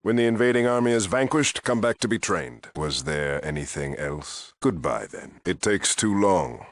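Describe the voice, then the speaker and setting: An actor playing a stern, proud paladin commander in a video game, talking in a clipped, formal, haughty way. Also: male voice, sits low, expressive, moderate pace, no room sound. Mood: stern, curt. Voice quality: gravelly. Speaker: head of a military order. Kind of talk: dramatic video game dialogue.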